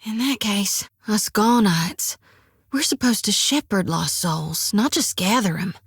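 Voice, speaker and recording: An actress voicing a devout, fiery young priestess in a video game, with a folksy drawl and country sayings, recorded on a dry studio mic. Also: female voice, medium pitch, animated, measured pace, breathy edge, no echo. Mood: earnest. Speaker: game companion character.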